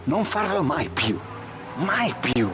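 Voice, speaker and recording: A man's voice: fairly high pitch, very animated, slow, over music. An actor playing a proud, irritable Italian gangster who scolds his partner in a game cutscene. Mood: scolding. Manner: scolding, exasperated. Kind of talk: 1930s gangster game dialogue.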